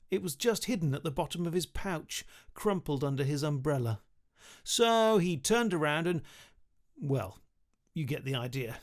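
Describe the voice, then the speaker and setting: British man reading stories, slightly high voice. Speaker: author reading his book. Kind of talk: expressive children's story reading. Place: small home studio room, indoors. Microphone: studio condenser with pop filter, close.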